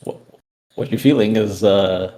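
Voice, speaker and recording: A man explaining his character casually in a tabletop game, halting and thoughtful. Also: on the low side, dark tone. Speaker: player in a tabletop roleplaying game.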